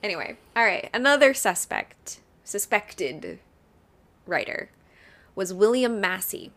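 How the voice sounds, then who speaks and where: A woman's voice: medium pitch, big pitch swings, slow, fairly bright tone. A woman co-hosting a podcast, telling a true crime case in a chatty way and laughing often. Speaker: podcast co-host. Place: Ohio.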